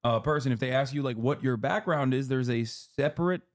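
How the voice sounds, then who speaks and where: A man in his thirties streaming live, explaining history in a rapid, lecturing way with many fillers and restarts. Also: mid-range voice. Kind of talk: casual livestream explanation.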